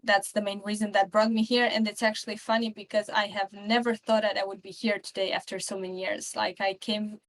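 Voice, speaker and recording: A woman's voice: medium pitch, flat delivery, fairly quick, few pauses. A woman in her twenties from Romania, chatting as a podcast guest and rambling with many fillers and restarts. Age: 20s. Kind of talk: casual interview chat.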